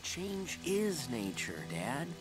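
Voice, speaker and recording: An earnest young male rat from an animated film. He speaks slowly in a lightly high, expressive voice, with clear music behind. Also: exaggerated voice. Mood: earnest conviction.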